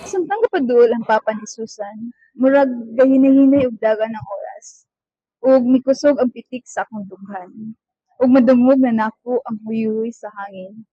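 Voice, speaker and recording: Actress as bashful teenage heroine in love, radio play, high voice, Filipino accent, muffled recording. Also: female voice, normal speed, dark tone, no echo. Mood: lovestruck. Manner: bashful, flustered hesitations. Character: shy, lovestruck teenage girl student. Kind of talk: amateur radio drama acting.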